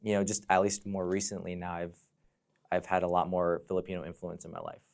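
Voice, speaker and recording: Deep-voiced, reflective American man. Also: monotone, fairly quick, gravelly, a little mellow. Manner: reflective, explanatory, often says "you know". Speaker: podcast guest, fire dancer and entrepreneur. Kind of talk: conversational personal storytelling.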